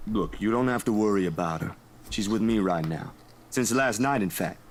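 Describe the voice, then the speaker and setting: A man's voice: on the low side, moderate pace, background noise, lightly acted. A man voicing a groggy, casual young man, a grumpy but caring friend in a visual novel. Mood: hesitant, worried. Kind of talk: visual novel dialogue read aloud.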